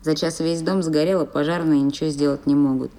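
A woman actor with a creaky voice narrating everyday events in an audio play. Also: low-pitched, fairly even intonation, brisk, warm tone, poor audio.

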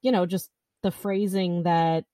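Woman, mellow warm voice, podcast co-host, animated, dry close sound. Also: fairly low pitch, measured pace, dry recording. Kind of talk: casual podcast chat.

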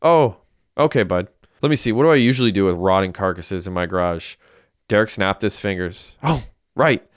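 A man voicing a quick-tempered, sardonic young man in an audiobook, speaking with cutting sarcasm and plenty of swearing. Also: low-pitched, expressive, no echo, clean studio sound. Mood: sarcastic.

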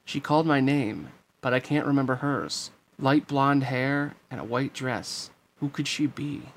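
A man's voice: medium pitch, unhurried, a little hiss or hum, close to an everyday voice. A man voicing a plucky, earnest boy hero in an eager, emphatic way for a storybook reading. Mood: wistful.

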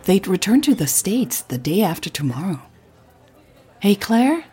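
A woman reading scripted audio drama narration in a husky voice. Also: lowish voice, very animated, brisk, darkish tone, faint music behind.

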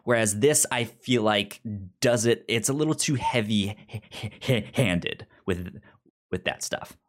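A man in a casual podcast debate as a panelist, halting and often repeating words as he stammers along. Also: lowish voice.

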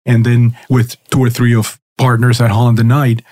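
A middle-aged man from Cuba talks casually as a guest on a podcast, recounting his path in a chatty, self-deprecating way. His voice sits at an ordinary pitch, and it is recorded close and dry with no room sound. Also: completely flat delivery, moderate pace, a little mellow, no echo. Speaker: guest lawyer. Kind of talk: casual interview chat.

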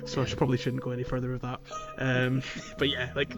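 A man's voice: mid-range voice, monotone, quick pace, music in the background. A man hosting a podcast, casually chatting about video games. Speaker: podcast host. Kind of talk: casual discussion of a video game.

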